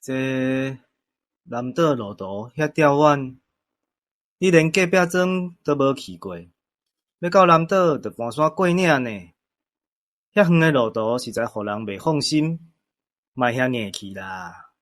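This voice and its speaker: A worried old village grandpa in an audio drama. He frets and protests in a Taiwanese accent, his voice pushed up high and swooping about. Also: expressive, slow, long pauses, fairly bright tone. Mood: worried, dissuading. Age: older. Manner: fretful, protesting.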